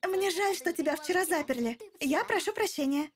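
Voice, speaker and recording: A woman's voice: very high voice, moderate pace. A woman voicing a haughty yet friendly teenage martial-arts girl in an animation dub. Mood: friendly, eager. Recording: faint music behind the voice.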